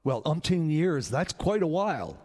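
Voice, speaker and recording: Man acting a high-voiced gushing talk show host. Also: very animated, normal speed. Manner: gushing, effusive, showy. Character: gushing, smooth-talking male late-night talk show host. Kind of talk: parody talk show hosting. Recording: loud music behind the voice.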